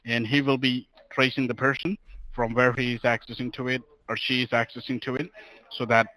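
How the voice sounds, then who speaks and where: A man with a bright, sharp voice talks as a customer service agent. He is polite and formal, walking through procedure, with a very dry sound. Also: medium pitch, little pitch movement, fairly quick, dry recording, rough recording. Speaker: phone scammer posing as bank fraud agent. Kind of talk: scripted call-center talk.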